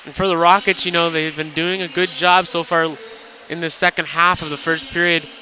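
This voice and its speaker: A man's voice: fairly high pitch, level tone, fairly quick, background noise. A man giving colour commentary and analysis on a live radio hockey broadcast. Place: commentary position in an indoor hockey arena.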